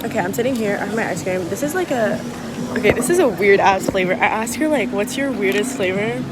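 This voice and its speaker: A young woman born in Morocco whose first language is French, chatting excitedly through a travel vlog with lots of fillers. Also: mid-range voice, fast, loud music behind the voice. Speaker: travel vlogger. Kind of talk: casual vlog chat. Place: outdoors and indoors while traveling.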